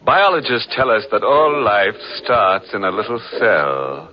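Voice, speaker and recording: A man's voice: lowish voice, very animated, unhurried. A man hosting a 1940s radio mystery show with wry, macabre, punning humour. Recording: muffled vintage radio, faint music.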